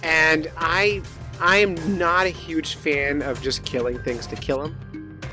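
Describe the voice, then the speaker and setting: Informal, higher-voiced man hosting a podcast. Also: fairly even intonation, slow pace, music in the background. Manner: informal, jokey, thinking aloud. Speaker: podcast host. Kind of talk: casual podcast chat, reading news stories aloud.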